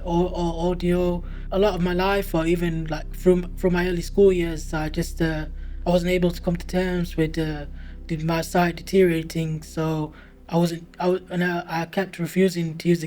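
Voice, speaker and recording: Hesitant young man, flat delivery. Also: a little high. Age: 20s. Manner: hesitant, halting, many pauses and restarts. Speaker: interviewee, university student. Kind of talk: documentary interview testimony.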